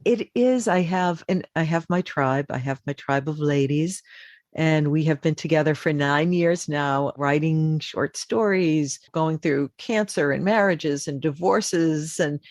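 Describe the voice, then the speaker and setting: Low-voiced boston-raised woman, chatty, lots of quick restarts, dry close mic. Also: darkish tone, no echo. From Boston, United States. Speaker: voice actor guest. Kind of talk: conversational interview.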